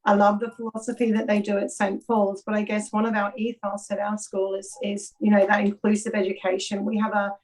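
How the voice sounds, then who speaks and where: Australian woman teacher, flat, earnest delivery. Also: mid-range voice, fairly quick, few pauses, low-quality recording. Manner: friendly, earnest, hesitant fillers. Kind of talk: video call discussion. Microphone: video call.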